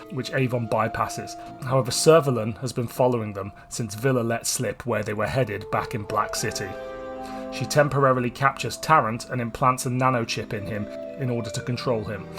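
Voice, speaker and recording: A man hosts a podcast in a mid-pitched voice. He narrates from a script and chats casually, with guitar music clearly playing behind him. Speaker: podcast host. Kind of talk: scripted plot synopsis and casual podcast chat.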